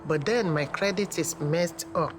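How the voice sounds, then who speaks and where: A woman's voice: sits low, measured pace, music in the background. A middle-aged woman with a Nigerian accent telling her personal story in an interview. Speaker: interviewee, mother of an athlete.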